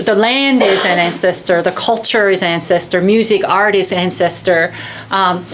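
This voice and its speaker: A woman speaks on a panel as a shaman, in an ordinary mid-pitched voice. She breaks her points down simply and directly. She sounds like she's explained this many times before. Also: fairly bright tone, slight background noise, low-quality recording. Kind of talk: panel discussion.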